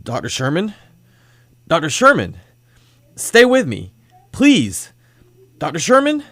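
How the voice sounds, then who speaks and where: A man's voice: fairly high pitch, huge pitch swings, slow, fairly bright tone, no room sound, full character voice. A man playing an earnest, uncertain reluctant hero in a superhero radio drama, sincere and dramatic. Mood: desperate. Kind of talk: old-time radio superhero drama acting.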